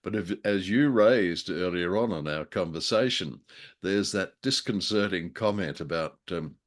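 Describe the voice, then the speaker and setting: A man with a low voice talks casually as a review co-host. He circles around his phrases hesitantly, slipping in dry, wry asides as he goes. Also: expressive, measured pace. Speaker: podcast co-host reviewing old radio plays.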